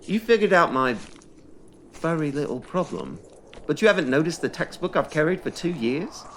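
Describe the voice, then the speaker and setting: A man's voice: a little high, sing-song, moderate pace, slight background noise. A man playing an earnest, bookish and anxious schoolboy wizard who talks defensively in an audio drama. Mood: quiet, matter-of-fact.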